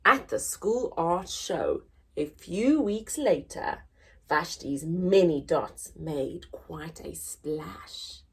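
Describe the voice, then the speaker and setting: A woman hosting a children's story time, reading aloud in an expressive, deliberate, sing-song way for small children. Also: low-pitched, vocal fry, slightly rough. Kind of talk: animated read-aloud for young children.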